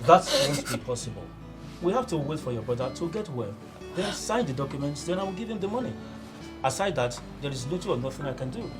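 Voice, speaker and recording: A man's voice: fairly high pitch, very animated, fast, music in the background. A man playing a cold, heartless uncle. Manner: cold, dismissive. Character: stern, heartless uncle refusing to help.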